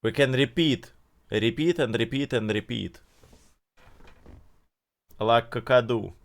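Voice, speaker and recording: A man streaming and reacting to videos, speaking halting non-native English with many pauses and fillers. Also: medium pitch, vocal fry. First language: not English. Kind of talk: casual live-stream commentary.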